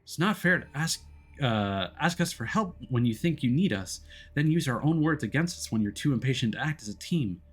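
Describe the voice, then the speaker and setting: A man's voice: mid-range voice, animated, brisk, slightly rough, voice pushed up. A man voicing a concerned but firm woman teammate in a visual novel, earnest and reproachful. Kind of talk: visual novel character reading.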